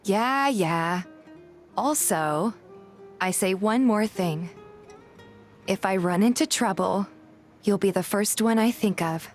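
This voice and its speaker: A woman's voice: medium pitch, slow pace, long pauses, faint music behind. A woman reading a scripted first-person story as narrator and voice actor in a fan dub.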